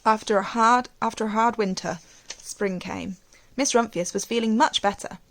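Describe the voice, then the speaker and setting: A woman teacher reading a storybook aloud to young children, expressively, with gentle questions and asides. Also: fairly high pitch, normal speed.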